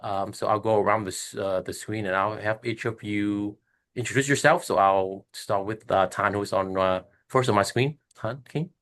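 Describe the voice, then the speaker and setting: A man's voice: fairly low pitch, fairly even intonation, moderate pace, a bit bright. A man moderating a video-call panel, speaking with a lot of hesitant fillers.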